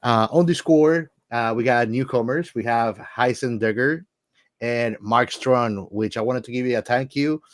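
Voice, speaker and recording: A man's voice: mid-range voice, unhurried, no room sound. A man co-hosting a podcast in casual chat, enthusiastic and repeating words for emphasis. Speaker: podcast co-host.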